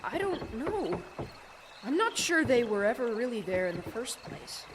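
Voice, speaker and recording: A woman's voice: on the high side, very animated, measured pace, noisy background. A woman playing a headstrong, determined young boy adventurer in an audio drama, urgent and insistent. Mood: resolved. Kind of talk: scripted audio drama acting.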